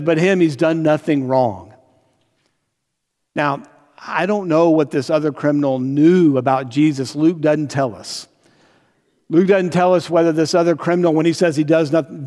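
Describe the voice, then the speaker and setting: An older male pastor delivers a sermon in a fairly high voice. He talks to listeners conversationally, checking in with questions and leaning slowly on key phrases. The sound carries the long reverb of a large church sanctuary. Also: darkish tone. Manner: conversational, retelling with rhetorical questions and tag "right?". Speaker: pastor preaching.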